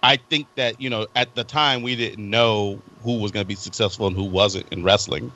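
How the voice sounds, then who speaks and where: A man in a casual group chat who argues his point step by step, in a halting way. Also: fairly low pitch, fairly even intonation, sharp tone. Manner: halting, lays out arguments step by step. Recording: dull lo-fi recording.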